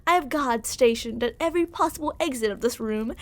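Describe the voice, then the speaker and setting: A woman's voice: fairly high pitch, fairly quick, close to an everyday voice. A woman playing a smug, menacing yandere royal captor in an ASMR roleplay, speaking in a theatrical, condescending, mock-affectionate way. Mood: threatening, condescending.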